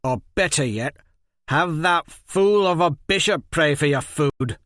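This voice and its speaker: A man's voice: mid-range voice, measured pace, a bit bright, no echo, clean studio sound, lightly acted. A man reading a story, voicing a bitter, foul-mouthed teenage boy who spits insults. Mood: scornful. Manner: spitting, sneering insults.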